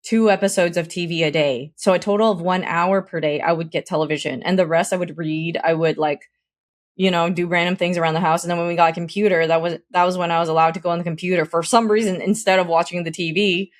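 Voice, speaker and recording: A woman's voice: on the low side, steady tone, fast talker. A woman in her thirties co-hosting a casual podcast, chatting and reminiscing at length. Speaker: podcast co-host. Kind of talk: casual chat.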